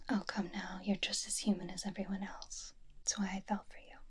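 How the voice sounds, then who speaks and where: A young woman whispers at a very slow pace, right up close to a binaural mic. She plays a doting, playfully bossy superhero witch in an ASMR roleplay, tender and intimate with her husband. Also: medium pitch, husky. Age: young adult. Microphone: close binaural ASMR microphone.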